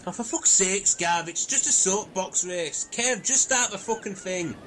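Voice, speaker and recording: Irritated, foul-mouthed man, fairly high voice, acted. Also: a little slow, noisy background. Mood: exasperated, rude. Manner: sweary, exasperated. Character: foul-mouthed, irritated castaway heckling the others. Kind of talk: comedy radio play acting.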